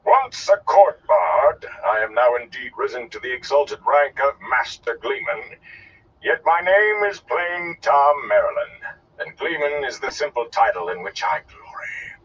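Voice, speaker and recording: A man voicing a flamboyant, haughty gleeman in an audiobook, with a theatrical, drawn-out and declamatory delivery. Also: very animated, fairly bright tone, husky, distant mic, muffled recording. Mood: grand, proud. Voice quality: deep, resonant. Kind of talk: audiobook character voice.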